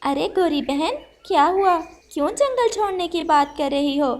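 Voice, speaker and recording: Woman voicing a high-pitched, kindly little sparrow. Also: animated, moderate pace. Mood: friendly. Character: kind, polite little female sparrow, caring friend. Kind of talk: Hindi children's cartoon voice acting.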